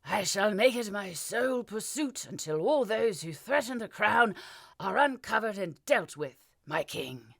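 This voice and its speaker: A woman voicing a scheming male royal adviser who speaks in smooth, formal deference. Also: mid-range voice, animated, unhurried, fairly bright tone, no echo, pitched above the performer's own voice, heavily acted. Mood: deferential.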